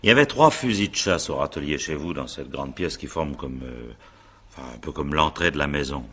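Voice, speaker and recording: A male examining magistrate in a radio crime drama. The voice is extremely low and husky. He questions with patience, small hesitations and courteous irony, as a shrewd official who takes his time. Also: animated, brisk, fairly bright tone, heavily acted. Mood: insistent. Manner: hesitant, probing, politely ironic.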